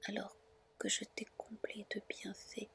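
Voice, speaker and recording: A woman acts out a dragon-headed fairy godmother from a storybook. She whispers at a slow pace, scolding and stern. Her reproaches are commanding, with a bright edge to the breath. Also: medium pitch, completely flat delivery, gravelly. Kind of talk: whispered ASMR storybook reading.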